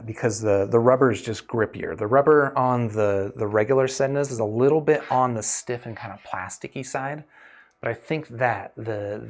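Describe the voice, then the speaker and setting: A man hosting a casual livestream question-and-answer session, explaining things in a thoughtful, hedging way. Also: medium pitch. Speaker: host reviewing audio gear and answering viewer questions.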